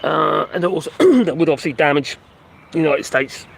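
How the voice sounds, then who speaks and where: Male streamer, voice fading and hoarse, halting news chat with plenty of uhs and restarts, slightly raised pitch. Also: animated, a little hiss or hum. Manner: rambling, halting, many fillers and restarts; talks to his pets between remarks. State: losing his voice. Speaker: livestream host reading and commenting on news. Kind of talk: casual live commentary on news. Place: at home with animals nearby.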